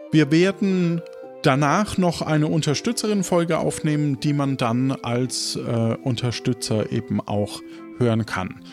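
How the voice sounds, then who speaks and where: Guy hosting an rpg podcast, slightly high voice, casual, light music behind him. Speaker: podcast host and game master. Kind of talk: casual chat and role-play narration.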